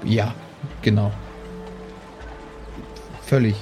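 A man's voice: fairly low pitch, fairly even intonation, extremely slow, music in the background. A man playing a tabletop role-playing game, chatting casually with the group.

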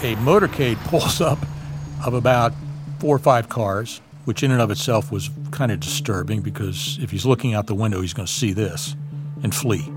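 A man's voice: lowish voice, normal speed. A man, a former embassy security agent, telling events in a direct, vivid first-person way. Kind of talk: recounting events in an interview. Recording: clear music bed underneath.

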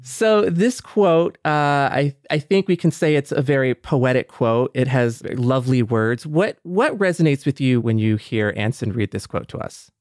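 A man's voice: medium pitch, lively intonation, moderate pace, few pauses, darkish tone, no echo, clean studio sound. A man co-hosting a podcast, reading scripted introductions and asking conversational questions of a guest. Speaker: podcast co-host.

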